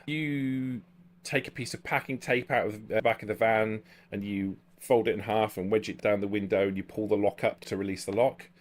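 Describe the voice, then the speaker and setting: Deep-voiced man narrating a tabletop game. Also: steady tone, normal speed. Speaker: game master. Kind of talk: improvised narration of a tabletop role-playing game. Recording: background music under the voice. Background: music.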